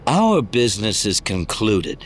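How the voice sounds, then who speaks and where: A man's voice: on the low side, very animated, measured pace. A man playing an arrogant, suave corporate executive villain in an audio drama, speaking in a haughty way. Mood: arrogant, curt.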